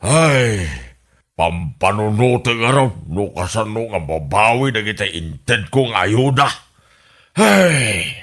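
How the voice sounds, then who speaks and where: An actor playing a scheming, blustering village candidate in an Ilocano radio comedy drama, with an Ilocano Filipino accent and a theatrical, drawn-out delivery. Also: male voice, lowish voice, very animated, a bit bright, full character voice. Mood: sulking. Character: scheming, blustering male village election candidate, bitter after losing.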